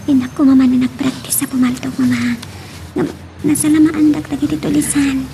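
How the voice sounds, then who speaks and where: A woman's voice: sits high, level tone, brisk, creaky, background noise. A woman playing an earnest, pleading young daughter in an audio drama, with a Filipino accent. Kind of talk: Ilocano radio-style drama acting.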